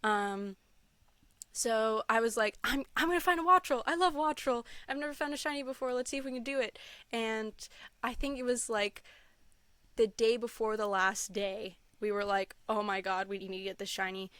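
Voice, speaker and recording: A woman's voice: on the high side, moderate pace, a bit bright, clear, resonant tone, no echo. Bubbly, giggly young woman guest. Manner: bubbly, gushing, laughs often, many "like"s and "um"s. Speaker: podcast guest, content creator. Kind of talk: casual interview chat.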